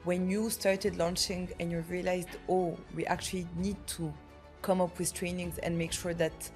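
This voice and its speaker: A woman's voice: lowish voice, little pitch movement, moderate pace, a little mellow, faint music behind. A woman hosting an interview, asking questions and encouraging her guest with short acknowledgments. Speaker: podcast host.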